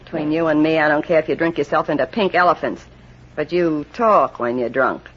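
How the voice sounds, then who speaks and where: Actress as a hard, scornful wife on dull old radio. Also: female voice, sits low, a little fast, slight background noise, natural voice. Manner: biting, commanding, sarcastic. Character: hard, scornful, domineering wife running a shady show business. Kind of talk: old-time radio crime drama acting.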